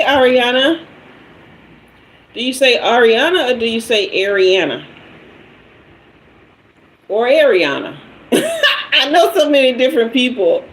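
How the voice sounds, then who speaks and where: A woman in her fifties hosts her own tarot livestream, greeting viewers one by one and urging them to send emojis. She talks at a slow, unhurried pace and sometimes drifts away from the mic. A faint hum of background noise sits under her voice. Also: a little high. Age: 50s. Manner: chatty and playful, greeting viewers and asking for emojis. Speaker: tarot reader hosting a livestream. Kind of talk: casual livestream chat with viewers.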